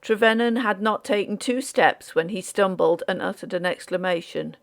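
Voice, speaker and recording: A woman reading scripted audiobook narration in a measured, deliberate way, as for a Victorian ghost story. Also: mid-range voice, vocal fry.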